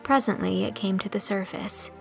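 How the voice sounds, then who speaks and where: A woman's voice: medium pitch, normal speed, gravelly. A woman reading a classic novel as scripted storybook narration. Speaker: audiobook narrator. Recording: music under the voice. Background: music.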